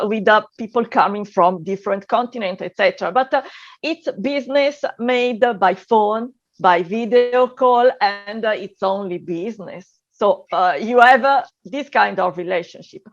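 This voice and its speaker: A woman from Italy with an Italian accent, a guest on a video panel, talking with many pauses and filler sounds. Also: medium pitch, dull audio.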